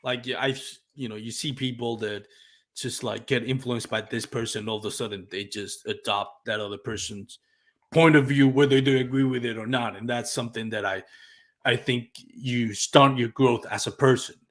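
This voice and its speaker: A man's voice: medium pitch, fairly even intonation, normal speed, a bit bright. A man from Puerto Rico, a native Spanish speaker with a Puerto Rican accent, hosting a casual live podcast and talking reflectively, sometimes searching for words. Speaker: podcast host.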